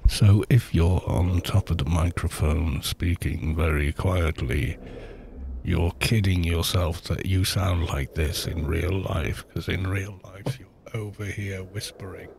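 A man's voice: low-pitched, fairly even intonation, slow, creaky, a little mellow. Guy rambling on stream at home, close mic, faint hum behind. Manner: rambling, chatty, self-deprecating asides. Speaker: game streamer. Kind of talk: casual stream chat.

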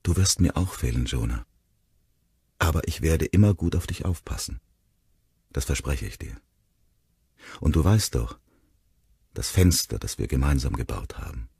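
Man playing a sorrowful, tender father, very deep. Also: expressive, normal speed, dark tone, slightly rough, dry recording, heavily acted. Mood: tender, reassuring. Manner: tender, murmuring, choked with emotion. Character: loving, sorrowful father comforting his young son.

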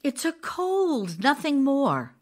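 A woman playing the devoted, wistful wife of an ailing writer in an audio drama, speaking in a tender, measured, earnest way. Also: sits high, big pitch swings, a little mellow, full character voice. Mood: reassuring. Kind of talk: audio drama acting, narrated memoir and letters. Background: music with plucked guitar.

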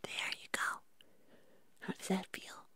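A woman voicing a gentle, doting furry puppet creature in a soft, breathy whisper, murmuring soothingly in an ASMR roleplay. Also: dry recording. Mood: gentle, nurturing. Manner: slow, soothing, affectionate murmuring.

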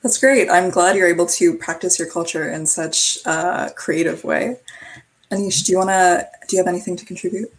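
Young woman host, friendly, video call, slightly low voice. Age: young adult. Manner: friendly, quick hand-offs between guests. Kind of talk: casual video call discussion.

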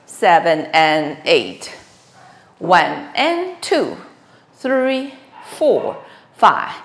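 A middle-aged woman leads a dance lesson. She calls steps and counts in short clipped phrases at a very slow, deliberate pace. Her pitch moves in a lively way from cue to cue. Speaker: line dance instructor. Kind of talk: step-by-step dance instruction, calling counts. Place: small bare room with wooden floor.

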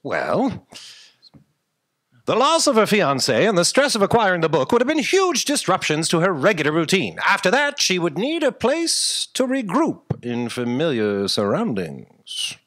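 Intrepid comic space sleuth, male actor: high, forceful, theatrical, live stage with hall reverb. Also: huge pitch swings, unhurried, full character voice. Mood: urgent, determined. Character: intrepid male space detective, brash ex-agent hero. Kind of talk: staged audio drama comedy. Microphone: stand microphone.

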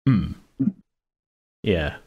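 A man's voice: extremely slow, dull audio. A man hosting a casual podcast chat, rambling, often correcting himself and chuckling. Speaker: podcast host and game master.

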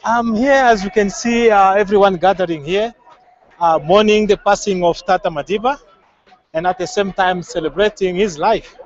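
A man with a Congolese accent and a high voice speaks in a street interview. He is earnest and reflective, often pausing to find the next phrase. The sound is slightly dull, recorded outdoors on a handheld microphone. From Democratic Republic of the Congo. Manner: earnest, hesitant, halting phrasing. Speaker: member of the public being interviewed. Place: outdoors at a crowded street gathering. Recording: muffled, band-limited outdoor field audio.

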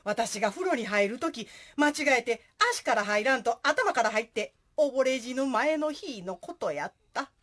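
A woman plays a high-pitched, scolding ghost of a mother in a comic radio drama. She has a Kansai accent, talks bluntly and swings animatedly up and down. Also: lively intonation, normal speed, few pauses, fairly bright tone. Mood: recounting. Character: bossy dead wife and mother, comic ghost.

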